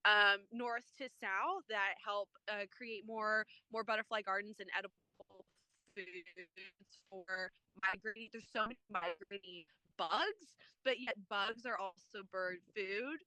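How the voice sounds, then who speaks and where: Bright, crisp-voiced woman landscaper. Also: mid-range voice, no echo, rough recording. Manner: enthusiastic, rambling with many fillers. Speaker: landscaper and guest. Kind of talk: casual panel chat.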